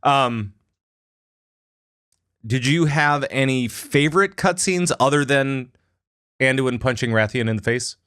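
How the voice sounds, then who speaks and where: A man's voice: mid-range voice, a little slow, long pauses, fairly bright tone, smooth, no room sound, clean studio sound. A man hosting a casual gaming podcast, talking in a rambling, enthusiastic way with many restarts. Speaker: podcast host.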